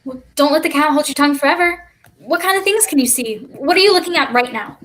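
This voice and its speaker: Woman playing a needy, high-pitched restless child. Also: fast talker, fairly bright tone, a little hiss or hum. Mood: curious, eager. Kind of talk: staged play reading over livestream.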